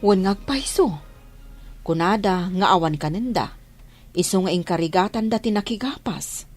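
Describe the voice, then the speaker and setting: A woman's voice: medium pitch, animated, normal speed, a little mellow, soft background music, lightly acted. A woman playing a gossiping, moralizing middle-aged mother in a radio drama, with an Ilocano Filipino accent. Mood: matter-of-fact.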